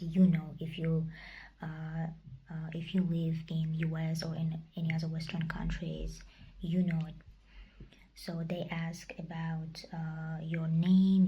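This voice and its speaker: Young woman, whispered vlog, mellow, flat delivery, hesitant. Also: low-pitched, rough recording. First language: Kazakh. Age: young adult. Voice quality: breathy whisper. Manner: whispered, hesitant, searching for words. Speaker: vlogger. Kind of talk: casual personal vlog.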